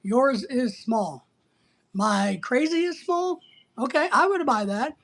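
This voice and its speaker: Livestream host from the US, husky voice, rambling casual delivery, blunt and playful with commenters. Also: high voice, expressive, slow. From United States. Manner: blunt, teasing, sometimes breaking into playful exclamations. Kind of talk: casual rambling live chat, answering viewer comments.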